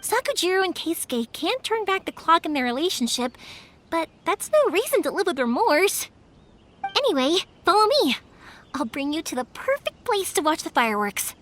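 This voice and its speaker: A woman's voice: very high voice, sing-song, moderate pace, fairly bright tone, slight background noise, heavily acted. A woman playing a cheerful, talkative, kind-hearted young woman in an anime-style video game, chatty and earnest.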